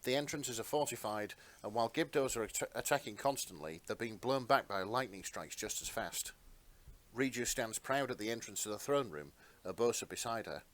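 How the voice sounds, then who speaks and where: A man's voice: mid-range voice, lively intonation, normal speed, fairly bright tone. A man reading scripted narration for a fantasy fan story in a dramatic storytelling style.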